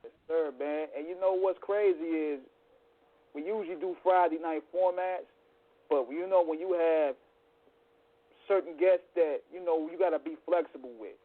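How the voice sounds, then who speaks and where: A man hosts a casual radio show, hyping up listeners and the guest in slangy talk. His voice sits fairly high, and the audio sounds thin and phone-like. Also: steady tone, normal speed. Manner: hype, slangy, shout-outs. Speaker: podcast host.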